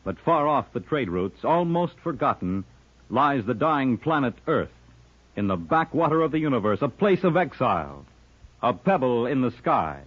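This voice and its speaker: A man's voice: medium pitch, sing-song, moderate pace. A man reading a scripted promotional announcement as a polished, dramatic 1950s radio network announcer. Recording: muffled old-time radio recording.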